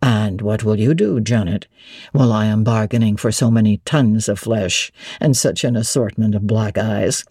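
Woman voicing a low, teasing, lordly gentleman. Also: normal speed, husky, no echo, clean studio sound. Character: stubborn, amused, possessive wealthy gentleman in love. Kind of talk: dramatic classic audiobook reading.

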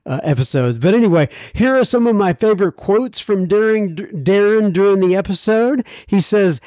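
A man's voice: high voice, animated, moderate pace, warm tone, no echo, studio quality. An American man hosting a podcast in a folksy, chatty, rambling way, moving between casual introductions and interview questions. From United States. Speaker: podcast host.

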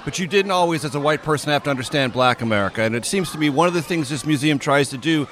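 Man, interviewer, measured, thoughtful, slightly high voice. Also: lively intonation, fairly bright tone, slight background noise. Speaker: interviewer and host. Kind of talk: interview over lunch.

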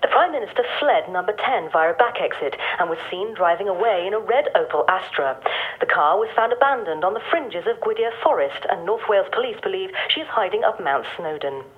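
A woman playing a serious radio news reader, measured, delivering a scripted bulletin through a radio filter. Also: medium pitch, expressive. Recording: thin, phone-like radio broadcast sound.

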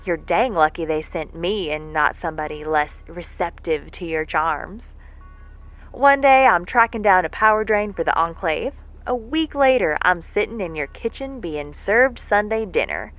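A folksy, stranded moonbase worker played by a woman talks in a rambling, self-deprecating way, as if in a letter home. The voice is fairly low and slightly dull in the recording, with faint music behind. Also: expressive, normal speed, close to an everyday voice. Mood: fond, teasing. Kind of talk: audio drama monologue, a spoken letter home.

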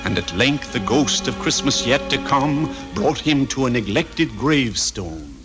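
A man's voice: medium pitch, lively intonation, measured pace. Dramatic male radio narrator over music. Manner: dramatic, solemn storytelling. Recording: old radio recording with music behind.

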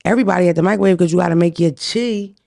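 Young woman comic, gravelly, dry close sound, loud and profane. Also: on the low side, racing, dry recording. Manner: brash, profane storytelling. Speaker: comedian guest. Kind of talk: casual podcast chat.

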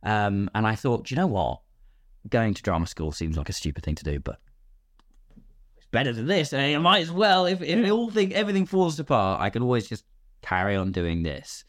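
A man's voice: medium pitch, very animated, brisk, no room sound, clean studio sound. A British man talking casually as a podcast guest, chatty and self-deprecating about himself. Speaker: guest, actor and filmmaker.

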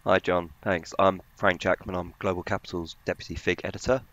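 A man's voice: low voice, little pitch movement, fairly quick, a bit bright, no echo. A man giving conversational market commentary as a journalist on a podcast, in slightly muffled audio. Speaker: financial journalist, podcast panellist.

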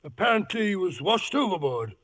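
An actor playing a grave, sorrowful gentleman squire in a 1950s radio drama. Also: sits high, sing-song, brisk. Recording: very noisy, clicky old radio recording.

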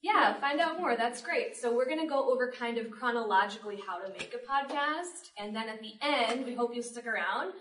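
A woman's voice: on the high side, animated, brisk, few pauses, fairly bright tone, slight background noise. A woman moderating a live panel in a casual, conversational way as its host. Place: public library event room. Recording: roomy live panel recording, distant mic.

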